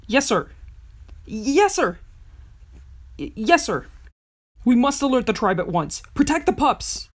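A woman's voice: on the high side, very animated, slow, long pauses, heavily acted. A woman voicing a dutiful wolf guard of a tribe, urgent and obedient. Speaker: voice actor auditioning.

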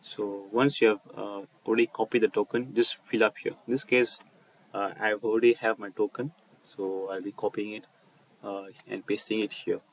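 A man presenting an unscripted coding walkthrough over a screen recording, speaking haltingly and often repeating and rephrasing his phrases. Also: fairly low pitch, fairly even intonation. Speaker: tutorial presenter.

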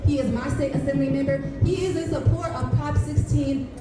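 A woman with a Californian accent speaks quickly and earnestly at an outdoor rally. Her high voice comes through a PA with heavy echo, picked up from out among the listeners. She is impassioned and slips easily into slang. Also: steady tone, over loud music. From San Jose, California, United States. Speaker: community organizer and poet. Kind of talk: public rally speech. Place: outdoors on the steps of a large civic building. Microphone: handheld mic through PA, recorded by distant audience camera. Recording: echoey outdoor PA heard from far off.

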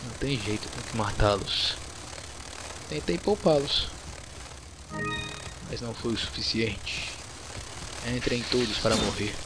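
A man's voice: lowish voice, a little slow, long pauses, rough recording. Brazilian man doing casual game commentary over music. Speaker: gameplay host. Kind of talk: casual let's-play commentary.

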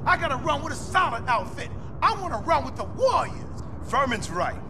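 An actor playing a tough, blunt, slangy street gang member in a video game. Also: male voice, very high-pitched, big pitch swings, a little fast, background noise. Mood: eager, pleading. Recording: music behind game dialogue.

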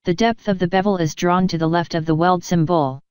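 A woman instructor narrates a scripted technical lesson in a calm, even, measured manner. Her voice is slightly low and very clear and clean. The recording is dry and close, with no room sound. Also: dry recording. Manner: measured, even explanatory delivery.

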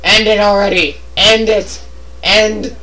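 A man's voice: high-pitched, fairly even intonation, takes it slowly, sharp tone, poor audio. A man giving casual, joking game commentary in an exasperated, sarcastic, complaining way. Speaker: let's play co-commentator.

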